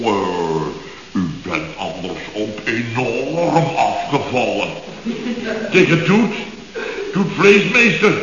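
A man's voice: mid-range voice, sharp tone, a little hiss or hum. An actor playing a blustering, sarcastic middle-aged contractor boss who grumbles and muddles his expressions in a radio comedy. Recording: dull, echoey old radio recording.

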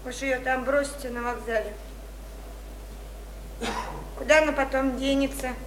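A woman's voice: high voice, steady tone, normal speed, lightly acted. An actress in a radio play voicing an earnest, reproachful woman who speaks with insistence. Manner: insistent, accusing. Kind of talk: theatrical Russian radio play acting. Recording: echoey, distant radio play with noise.

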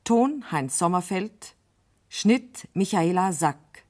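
Slow, measured female radio announcer. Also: lowish voice, lively intonation, darkish tone. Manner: formal, clearly measured. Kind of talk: scripted credits announcement.

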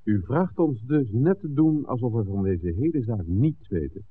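An actor playing a stubbornly sceptical, conscientious visitor in a 1960s radio drama, blunt and doubting. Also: male voice, mid-range voice, expressive, moderate pace, breathy edge, narrow, tinny audio. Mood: doubtful. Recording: thin, distant old radio recording.

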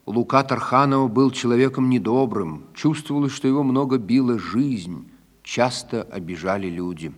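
A man's voice: mid-range voice, moderate pace, in a large hall. A man narrating a scripted introduction to a recorded theatre performance in a documentary style.

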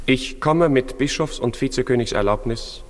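A man playing a dignified, formal nobleman with a measured, solemn manner in a 1950s German radio drama. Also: medium pitch, natural voice. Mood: stern. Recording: reverberant hall, 1950s radio production.

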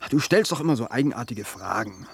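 A man playing a hesitant demolition worker in a naturalistic radio play, speaking haltingly. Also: mid-range voice, lively intonation, creaky, slightly rough, heavily acted. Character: hesitant male demolition worker visiting animal park.

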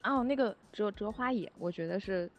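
Woman, mid-pitched, modest and hesitant casual talker, repeats words, faint music behind. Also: fairly quick, dull audio. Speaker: music arranger for an audio drama. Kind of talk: casual chat.

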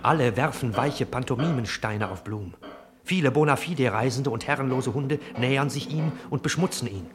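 Man as radio drama narrator, medium pitch, matter-of-fact, scripted stage directions, music behind. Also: moderate pace, lightly acted.

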